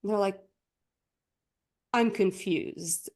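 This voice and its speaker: A woman's voice: mid-range voice, takes it slowly, a little mellow. A woman from Croatia, speaking English, chatting as a guest coach on a podcast with lots of fillers and frequent laughter. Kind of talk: casual interview chat.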